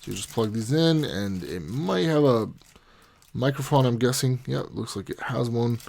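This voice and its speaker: A man's voice: mid-range voice, animated, normal speed, gravelly, darkish tone. A man hosting a casual unboxing video, talking informally and explaining things step by step. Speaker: video host, product unboxer.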